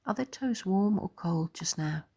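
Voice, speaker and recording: A woman leading a guided body scan meditation in a soft, gentle, soothing way, pausing between phrases. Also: medium pitch, expressive, creaky, husky.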